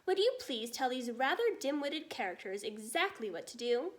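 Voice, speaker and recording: A woman voicing a tactful, commanding storybook queen with brisk, polite authority. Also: high-pitched, big pitch swings.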